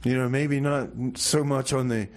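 Chatty male radio interviewer, mid-pitched. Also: fairly even intonation, moderate pace. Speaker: radio show host, interviewer.

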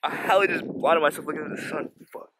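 A man's voice: fairly high pitch, fairly even intonation, quick pace, noisy background. A young man, chatting casually outdoors by the water, laid-back and swearing a lot. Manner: laid-back slangy, laughing often, swearing. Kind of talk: casual chat among friends. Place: outdoors on a bay shoreline.

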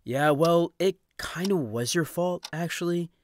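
A man's voice: on the high side, unhurried, reverberant, slight background noise, natural voice. A man playing a wimpy, bookish and hesitant teenage boy in an amateur comic dub. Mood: annoyed.

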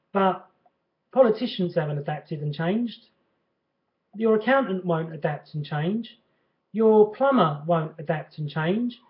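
A middle-aged man with a fairly high voice talks off the cuff to his webcam. He gives his opinions in an explanatory way, repeating phrases to press a point. The sound is somewhat dull and lo-fi, picked up at about arm's length in a room at home. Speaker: personal trainer. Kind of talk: casual opinion vlog talk to camera. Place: bright furnished living room at home. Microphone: webcam mic at about arm's length.

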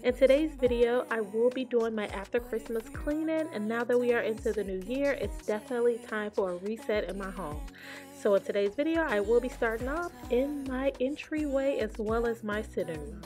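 A woman narrates her home cleaning vlog in a casual voice-over, pitched a touch high. She talks straight to her viewers and drops in light laughs. Soft music plays quietly underneath. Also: lively intonation, moderate pace.

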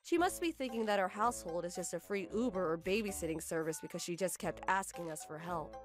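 A woman's voice: fairly low pitch, fairly quick, over music, close to an everyday voice. A woman voicing a put-upon young housewife, firm and exasperated, narrating her own story in a manga dub. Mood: weary explaining. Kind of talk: scripted first-person manga dub narration.

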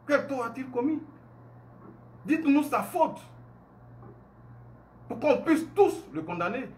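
A man in his forties with an Ivorian French accent delivers an emphatic, indignant speech straight to his phone camera. His voice sits high for a man, and he keeps firing off rhetorical questions. It is recorded indoors in a room at home. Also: big pitch swings, slow pace, a little mellow. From Côte d'Ivoire. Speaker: online commentator. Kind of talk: impassioned monologue to camera.

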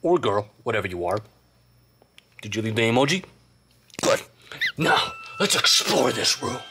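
A man's voice: mid-range voice, very animated, normal speed, very noisy. A man voicing a playful, teasing toy monster who bosses the viewers around in a hyper, giggly way. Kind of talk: fan toy-play video narration. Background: loud music.

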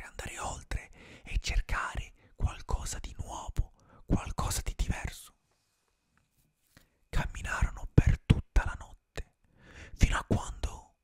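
A young man whispering a bedtime fairy tale for ASMR, close to a studio microphone in a small room. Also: no room sound. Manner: slow unvoiced whisper, drawn-out pauses. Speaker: ASMR streamer. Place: small dim room with colored string lights. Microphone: close studio mic, earphones.

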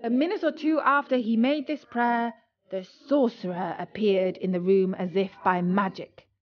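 A woman narrating a scripted children's story as a storyteller, expressive and dramatic, with deliberate pauses. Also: medium pitch. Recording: dull lo-fi audio with music.

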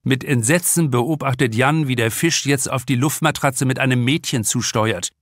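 A man's voice: mid-range voice, normal speed, no echo, studio quality. A man reading a crime novel aloud as a scripted audiobook narration. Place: dry studio.

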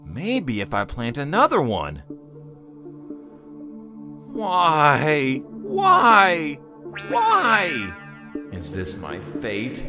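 A man's voice: a little high, huge pitch swings, drawn-out words, long pauses, music in the background, exaggerated voice. A man voicing an awkward, lovestruck impostor crewmate in a comic dub, hesitant and prone to melodramatic outbursts. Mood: frantic, despairing.

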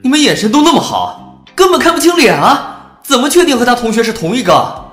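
An actress playing a skeptical, cynical internet gossip commenter in an audio drama, with a dry, doubting manner. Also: female voice, fairly high pitch, sing-song, a little fast, fairly bright tone, reverberant, faint music behind. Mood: doubtful.

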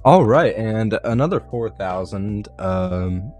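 A young man with a raspy, hoarse voice from being ill, chatting casually over his gameplay and swearing now and then. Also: fairly low pitch, animated, takes it slowly, a little mellow, soft background music. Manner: laid-back, swears casually. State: hoarse from illness. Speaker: gaming video creator.

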